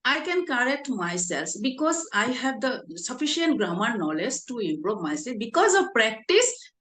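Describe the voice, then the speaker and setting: Woman, lively echoing voice. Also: a little high. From India. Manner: halting, searching for words, frequent affirmations. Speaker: interviewer. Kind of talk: casual English practice conversation. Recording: echoey room.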